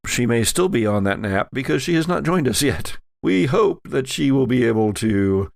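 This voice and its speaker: A man's voice: mid-range voice, lively intonation, normal speed, vocal fry, no echo, clean studio sound. A man hosting a podcast, chatting casually and narrating a tabletop game as game master.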